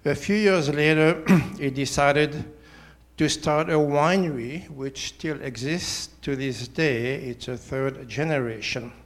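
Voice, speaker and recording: Slow-paced older man. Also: fairly high pitch. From Switzerland. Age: 70s. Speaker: church member giving testimony. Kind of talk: prepared personal testimony to a congregation.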